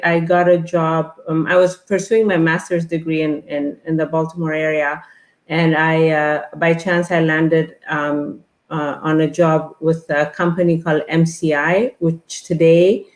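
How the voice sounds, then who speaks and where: A woman in her fifties from Ethiopia, speaking as a guest in a casual online interview and pausing often with fillers and restarts. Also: low voice, steady tone, reverberant. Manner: halting, many fillers and restarts.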